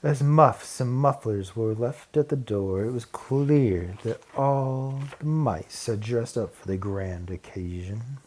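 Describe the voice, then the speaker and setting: A man narrates a children's story with a dark, mellow tone. His voice has a husky grain and sits at a middling pitch, with the expressive delivery of a read-aloud. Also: slow, few pauses. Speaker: storybook reader.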